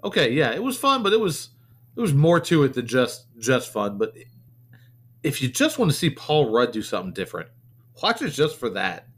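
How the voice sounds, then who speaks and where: A man with a mid-pitched voice co-hosting a podcast in a relaxed chat. He talks in a friendly, teasing way, often stumbling and repeating words as he goes. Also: moderate pace. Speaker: podcast co-host. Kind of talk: casual chat.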